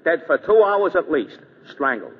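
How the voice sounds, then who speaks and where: An American actor playing a hard-nosed police detective with clipped, slangy talk in an old-time radio drama. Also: male voice, a little high, very animated, moderate pace, husky, exaggerated voice. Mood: matter-of-fact. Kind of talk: 1930s-1940s radio drama acting. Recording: tinny, reverberant old radio recording.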